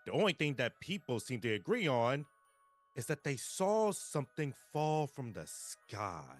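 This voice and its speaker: Guy doing earnest tv news reporter lady, animated delivery, music behind. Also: medium pitch, measured pace. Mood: serious. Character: brisk female TV field reporter, earnest. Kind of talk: news report in a live table read.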